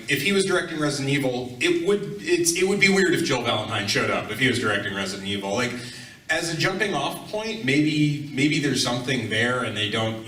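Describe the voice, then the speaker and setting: A man on a live convention panel, talking casually in a halting, rambling, wry way. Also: medium pitch, pushed and bright. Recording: echoey room, distant mic, faint noise.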